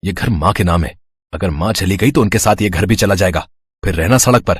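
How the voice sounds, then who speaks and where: A man voicing an irritable, curt married son and husband in a family story, with a slightly husky voice. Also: deep voice, a little fast, dry recording, clean studio sound. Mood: irritated. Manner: curt, scolding.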